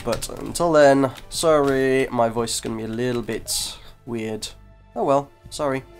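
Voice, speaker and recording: A man with a congested, cold-stuffed voice rambles over his gameplay at an ordinary middle pitch. He talks casually and pokes fun at himself, often trailing off or restarting his sentences. Faint game music plays quietly behind his desk microphone. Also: unhurried, vocal fry. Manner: chatty, self-deprecating, breaks off mid-sentence. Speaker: gaming video host.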